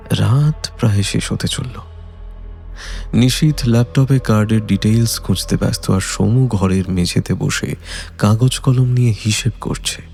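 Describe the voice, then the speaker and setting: A man giving a dramatic, suspenseful scripted narration of a detective story in a measured way. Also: fairly low pitch, creaky, dark tone.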